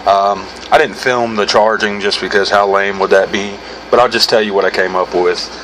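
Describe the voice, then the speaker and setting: A man's voice: fairly low pitch, steady tone, normal speed, fairly bright tone. A middle-aged American man giving a casual, unscripted product review in an informal, rambling, self-correcting way. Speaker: gear reviewer hosting his own video. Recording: clearly noisy background hum.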